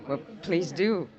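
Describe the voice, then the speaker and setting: A woman's voice: low-pitched, darkish tone. A woman playing a coy, refined young society lady in a 1950s radio drama, genteel and a little hesitant. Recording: muffled vintage radio, faint music.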